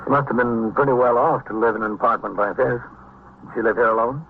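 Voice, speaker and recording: An actor playing a calm, methodical police captain in a vintage radio drama, dry and matter-of-fact, with clipped procedural delivery. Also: male voice, mid-range voice, fast talker, vocal fry, natural voice. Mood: businesslike. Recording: dull, noisy vintage radio recording.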